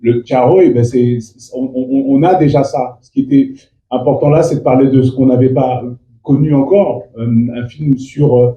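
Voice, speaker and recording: A man, a native Fula speaker, answering press questions as an actor in a halting way with many restarts. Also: mid-range voice, fairly even intonation, warm tone, dull audio. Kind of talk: press interview. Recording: echoey room from far off.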